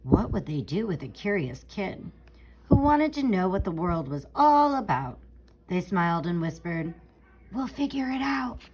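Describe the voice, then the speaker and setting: A woman reading a rhyming children's picture book aloud in an expressive, theatrical way, stretching out key words for emphasis. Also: on the low side, measured pace, low-quality recording. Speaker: storybook reader.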